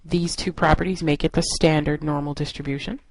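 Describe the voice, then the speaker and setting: A woman's voice: deep voice, steady tone, fairly quick, vocal fry, a little mellow, no echo, rough recording. A woman instructor narrating a lesson in an explanatory, step-by-step way. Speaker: statistics instructor.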